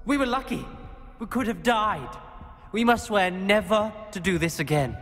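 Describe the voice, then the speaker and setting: An anxious schoolboy wizard in a video game. His voice sits fairly high, earnest and solemn, with music playing under it. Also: expressive, normal speed, fairly bright tone. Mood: insistent.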